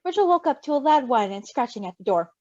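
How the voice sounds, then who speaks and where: A woman reading a story aloud in an expressive, gushing, giggly way, with amused asides. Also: high voice, fast talker, dry recording. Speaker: fan fiction reader. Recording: dull lo-fi recording.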